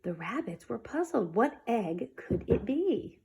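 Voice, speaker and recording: A woman reading a children's storybook aloud in an expressive, dramatic manner. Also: on the high side, measured pace, gravelly, breathy edge. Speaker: storybook reader.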